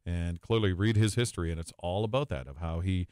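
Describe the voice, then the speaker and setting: A man's voice: low voice, brisk, darkish tone, clear, resonant tone, no echo, clean studio sound. A man, a guest who works as an arena announcer, talking in an effusive, emphatic way.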